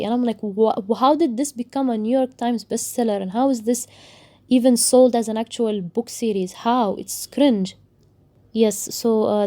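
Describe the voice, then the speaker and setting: A woman's voice: on the high side, dark tone. A young woman, a native Arabic speaker, ranting casually about books she read, with a rambling, sarcastic delivery and many restarts. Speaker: book vlogger. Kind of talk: casual book rant.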